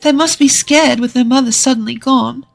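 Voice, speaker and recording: A storyteller voicing a cheerful, kind young wife, gently teasing and reassuring. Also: high-pitched, fairly even intonation, normal speed, gravelly, soft background music, pitched above the performer's own voice. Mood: gentle, reassuring.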